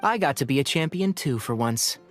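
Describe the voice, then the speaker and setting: A woman voicing a calm, friendly, gently teasing young man in a video game. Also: extremely low pitch, a little fast. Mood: relaxed, teasing. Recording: music under the dialogue.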